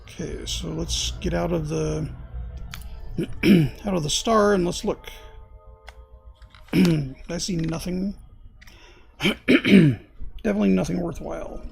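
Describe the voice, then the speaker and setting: A man streaming a space game, thinking aloud in casual commentary, hoarse and losing his voice, often clearing his throat. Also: high-pitched, darkish tone.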